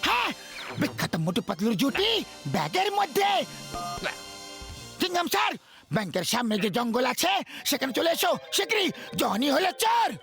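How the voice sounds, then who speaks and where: A man voicing a clever, scheming cartoon sidekick who explains things briskly and gets exasperated. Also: extremely high pitch, very animated, vocal fry, fairly bright tone, heavily acted. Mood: urgent. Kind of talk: comic cartoon dub acting. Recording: music bed behind the voice.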